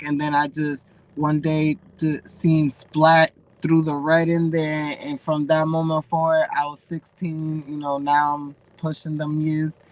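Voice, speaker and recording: A woman's voice: sits low, a little mellow, poor audio. A woman with a mix of a Dominican and a Massachusetts accent, chatting casually as a guest on a video call and using lots of slang and fillers. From Dominican family, raised in Massachusetts. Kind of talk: casual chat on a live call. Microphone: remote video call.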